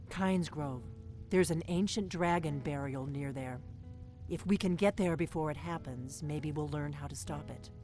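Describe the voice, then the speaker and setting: A woman's voice: sits low, a little mellow, close to an everyday voice. An actress voicing a guarded, driven veteran female agent in a video game, speaking tersely and with command. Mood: resolute. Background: game music.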